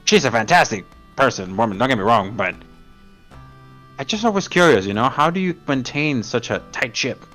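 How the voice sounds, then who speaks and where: A man playing a tabletop game, chatty and quick to laugh. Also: mid-range voice, sing-song, pushed and bright, faint music behind. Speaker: player. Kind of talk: casual tabletop game chat.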